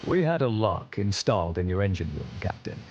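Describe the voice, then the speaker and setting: A man voicing a stern, courteous imperial admiral in scripted game dialogue, measured and formal. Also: sits low, sing-song, muffled recording, a little hiss or hum. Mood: grave, dutiful. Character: stern, courteous imperial fleet admiral, fatherly and duty-bound. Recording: loud background music.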